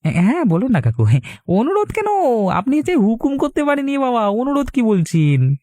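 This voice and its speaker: Guy doing poor eager village boy, cartoon, high dry voice, stammery n super respectful. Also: huge pitch swings, a little fast, a little mellow, no echo, heavily acted. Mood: deferential, eager. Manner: hesitant, deferential. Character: humble, eager poor orphan village lad. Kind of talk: Bengali cartoon dialogue.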